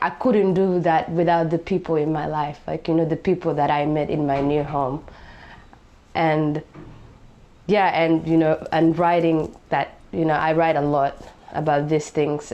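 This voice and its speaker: A woman's voice: sits low, little pitch movement, a little slow, darkish tone, muffled recording. A young woman from Somalia telling her own story in an interview, reflective, with lots of "you know" fillers. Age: young adult. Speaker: interviewee, refugee.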